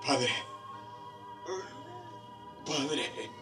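An actor playing a gravely wounded, repentant conquistador soldier in a radio drama, with halting, labored speech. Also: male voice, mid-range voice, animated, sharp tone, heavily acted. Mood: fearful, pleading. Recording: radio drama with music behind.

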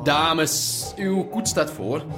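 An actor playing a breezy, flirtatious show-off driver in a Dutch radio drama, casual and showy. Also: male voice, on the high side, expressive, extremely slow, music in the background.

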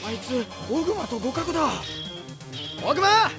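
A woman acting in a Japanese radio drama as an eager, cheeky young fighter, boyish and excitable. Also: sits high, moderate pace. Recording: studio drama with music behind.

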